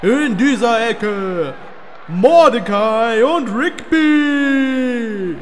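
Man doing a bombastic slow fight announcer. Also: lively intonation, big-room sound, a little hiss or hum, full character voice. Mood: grandiose. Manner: booming, drawn-out presentation. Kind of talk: German fan-dub ring announcing.

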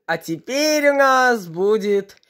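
A young woman talks casually into her phone at home, introducing songs one by one. Her voice sits a little high and she takes her time. The tone is relaxed and chatty. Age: young adult. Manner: unhurried, chatty, introducing each song. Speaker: video blogger. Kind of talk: casual chat. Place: room at home, beside a television.

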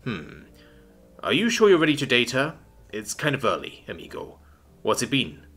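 Male narrator playing dry, wry middle-aged father, bright, lively inflection. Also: mid-range voice, normal speed, slightly rough, faint music behind, heavily acted.

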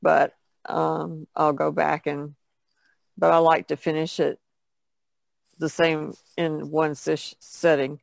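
This podcast guest talks casually in a very gravelly, creaking voice. The intonation stays quite flat, and the recording is dry. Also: medium pitch, slow pace, long pauses, no echo. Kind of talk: casual chat about painting.

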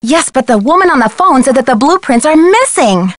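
A woman's voice: sits high, sing-song, a little fast, no room sound, studio quality. An actress playing an earnest, inquisitive young female detective in a video game. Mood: exasperated. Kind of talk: scripted video game dialogue.

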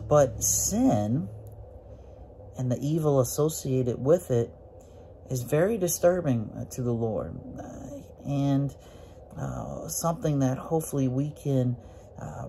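A man of about forty, a preacher, giving a casual Bible lesson to camera from a small room, with many fillers and rising pitch when he stresses a point. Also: warm tone, slight background noise. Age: 40s. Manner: folksy, many fillers, rises in pitch on emphasis. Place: small room with green walls. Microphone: phone or webcam at close range, looking up.

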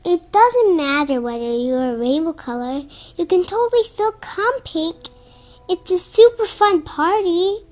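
A child reading a children's picture book aloud in an expressive, somewhat halting way, with stumbles. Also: very high-pitched. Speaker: storybook reader.

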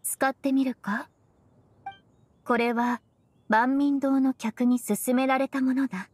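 A woman voicing a cool, stoic, blunt woman in an anime-style game, deadpan and measured, with archaic wording. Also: sits high, slightly rough, dry recording, heavily acted. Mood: calm, matter-of-fact.

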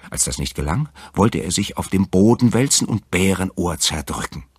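A man with a husky voice narrating a scripted fairy tale as an audiobook storyteller. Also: deep voice, lively intonation, normal speed, a little mellow, dry recording, clean studio sound.

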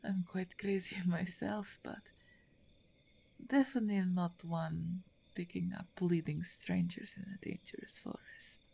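An actress voices a strong half-orc woman, wounded and weary. She speaks very slowly in a mellow, breathy voice that often sinks to a whisper. She is halting and weak, wary but wry, as in an ASMR audio drama. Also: lowish voice, fairly even intonation, exaggerated voice. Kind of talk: ASMR roleplay acting.

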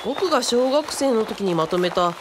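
Woman as a friendly boyish kid explaining stuff, radio drama, really animated, faint birdsong. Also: fairly high pitch, normal speed, noisy background, close to an everyday voice. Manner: casual, patient explaining.

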